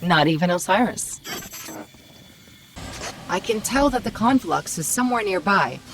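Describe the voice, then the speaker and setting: A woman's voice: medium pitch, animated, measured pace, a little hiss or hum. A woman voicing a small, witty and cocky female AI companion in a video game, wry, self-assured and conversational. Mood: wry, confiding. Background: loud cinematic game music.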